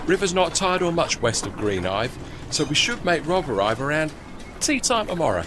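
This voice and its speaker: A man's voice: on the high side, big pitch swings, normal speed, noisy background. An actor playing a chatty, deferential working-class tugman with a London Cockney accent and a rambling, folksy manner in a period audio drama. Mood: proud, affable.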